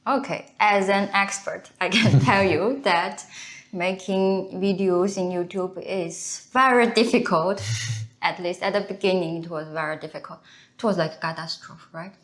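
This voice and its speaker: Slow-talking woman with a Chinese accent. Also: on the low side. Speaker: co-host, video creator. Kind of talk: casual unscripted chat.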